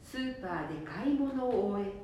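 A woman's voice: animated, normal speed, mellow. A woman reading scripted storytelling narration for a radio drama. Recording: echoey room, faint rumble.

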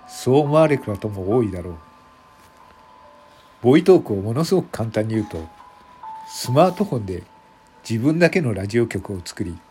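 A man reading scripted narration for an audio drama in a measured, deliberate manner, phrase by phrase. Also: medium pitch, mellow.

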